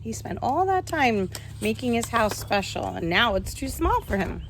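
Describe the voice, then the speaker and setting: Lively woman teacher reading aloud. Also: fairly high pitch, normal speed, a little hiss or hum. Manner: animated, addressing children with questions. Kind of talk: expressive picture-book read-aloud.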